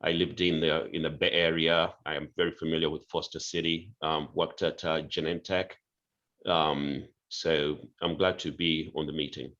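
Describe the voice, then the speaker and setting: A man's voice: a bass voice, flat delivery, moderate pace, fairly bright tone. A man from Uganda, introducing himself hesitantly with many fillers on a video call. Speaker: safari lodge owner, meeting guest. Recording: dull lo-fi call audio.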